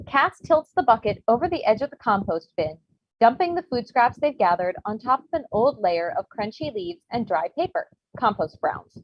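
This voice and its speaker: A woman's voice: on the high side, very animated, moderate pace, slight background noise. A woman giving scripted narration, reading stage directions for a comedy table read. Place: remote virtual table read. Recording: muffled video-call audio.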